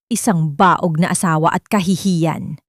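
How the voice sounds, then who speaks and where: A woman's voice: lowish voice, fairly even intonation, measured pace, no echo, clean studio sound, pitched below the performer's own voice. A woman voicing a cruel, contemptuous older rich matriarch in a scornful, commanding manner for an audio drama.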